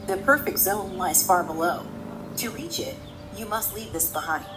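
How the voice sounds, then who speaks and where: A woman playing the calm, ominous, enigmatic guide of a virtual simulation in a radio drama, speaking in a measured, solemn way. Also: medium pitch, noisy background, lightly acted. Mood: coolly tempting.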